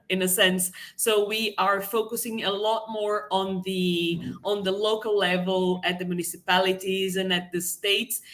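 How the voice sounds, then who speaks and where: A woman's voice: mid-range voice, a bit bright. An earnest woman speaks as a panelist on an online discussion. She stresses her points by repeating words. Her voice comes through a video call. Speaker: panelist, housing advocate.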